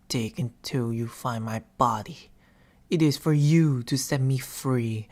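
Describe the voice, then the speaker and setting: A man playing a soft-spoken, teasing sleep paralysis demon in an ASMR roleplay, speaking breathily and often whispering, with slow, theatrical intimacy close to the mic. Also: mid-range voice, close to an everyday voice. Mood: menacing. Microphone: close binaural microphone.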